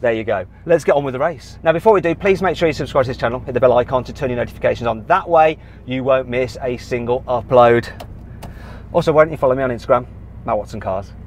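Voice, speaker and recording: A male presenter with an English Midlands accent, doing excitable, joking car commentary at an outdoor test track. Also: medium pitch, fast talker, a little hiss or hum. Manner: excitable, joking, bursts of shouting.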